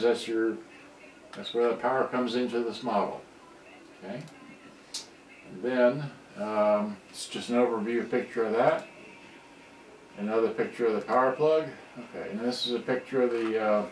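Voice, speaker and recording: Low-voiced rambling man in a roomy recording. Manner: rambling, halting. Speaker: electronics repair hobbyist presenting. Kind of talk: casual off-the-cuff commentary over photos. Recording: roomy, distant mic, faint noise.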